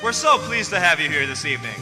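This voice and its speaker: A man's voice: fairly high pitch, big pitch swings, fast. A man playing a showy 1940s radio announcer, formal and grandly projected like a showman. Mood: grand, welcoming. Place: theater stage before live audience. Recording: echoey theater hall, music behind.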